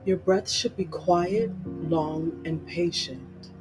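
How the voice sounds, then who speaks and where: Slow-paced meditation guide over soft guitar music. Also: mid-range voice, poor audio. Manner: measured, instructional, with spaced-out phrases. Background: plucked guitar music.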